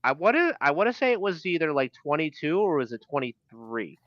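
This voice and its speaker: A man co-hosting a casual racing podcast, speaking haltingly with many fillers. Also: a little high, sing-song, no room sound. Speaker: podcast co-host. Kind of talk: casual sports chat. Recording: dull, slightly lo-fi sound.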